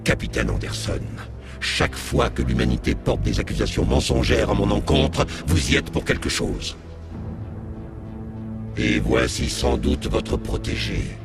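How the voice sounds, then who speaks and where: An actor playing an arrogant, contemptuous alien agent in a French video game, with a gravelly, haughty, theatrical delivery. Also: male voice, on the low side, very animated, unhurried, full character voice. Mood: cold indignation. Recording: loud music underneath.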